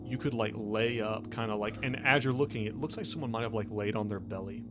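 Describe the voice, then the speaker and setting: A man running a tabletop roleplaying game, improvising scene narration in a conversational, halting way with frequent rephrasing. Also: on the low side. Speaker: game master. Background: background music.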